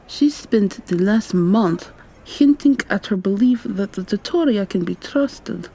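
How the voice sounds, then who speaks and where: A woman playing an articulate, probing young female vampire student in an ASMR roleplay, soft-spoken and questioning, with noise in the recording. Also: medium pitch, breathy edge, heavily acted, low-quality recording. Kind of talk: ASMR roleplay, intimate conversational acting.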